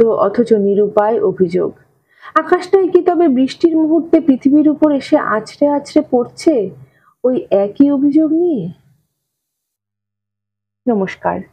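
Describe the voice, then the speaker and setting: A woman's voice: high voice, dull audio. A woman narrator reading a literary short story expressively from a script.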